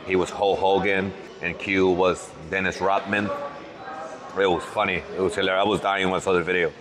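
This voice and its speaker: A man with a fairly low voice hosts a relaxed food vlog, talking through each dish at a restaurant table. He is easygoing and often repeats words as he gathers his thoughts. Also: normal speed, a crowd in the background. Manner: easygoing, repeats words while thinking aloud. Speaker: vlogger host. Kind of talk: casual food vlog chat.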